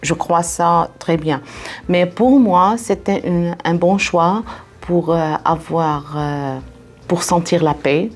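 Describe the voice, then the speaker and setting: A woman from Syria answers interview questions slowly, hesitating and searching for her words. Her voice sits slightly low. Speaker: college staff member.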